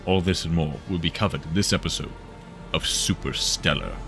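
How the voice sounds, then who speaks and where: A man's voice: deep voice, normal speed. A man narrating a scripted, dramatic introduction to an audio drama episode. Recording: music behind the voice.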